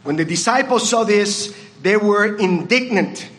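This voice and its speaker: A man's voice: sits high, expressive, a little slow, a bit bright. A man with a Puerto Rican accent giving a reflective communion meditation at a church service and asking the congregation questions. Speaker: communion speaker.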